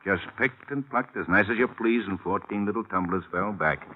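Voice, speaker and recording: Man playing a gruff american cop lieutenant, lowish voice, wry and slangy, old radio show, tinny narrow sound. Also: normal speed, a little hiss or hum, lightly acted. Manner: slangy, gruff, matter-of-fact. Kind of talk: old-time radio drama acting. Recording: tinny, narrow-band vintage radio recording.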